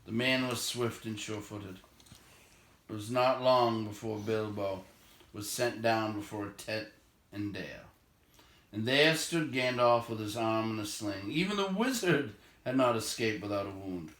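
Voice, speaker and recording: A man reading a novel aloud as expressive, measured storytelling narration. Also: fairly low pitch. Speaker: amateur storybook reader. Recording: roomy, echoey home recording.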